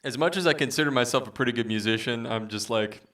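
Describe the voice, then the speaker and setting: A man with a baritone voice chatting casually with viewers as a musician streamer, rambling with many fillers. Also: fast talker, a bit bright, recorded from a distance. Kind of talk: casual livestream chat.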